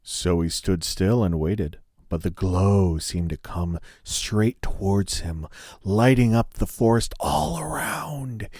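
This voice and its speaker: A man narrating a scripted fairy tale as a storyteller, in a measured, dramatic manner. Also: deep voice, a little mellow, no room sound, clean studio sound.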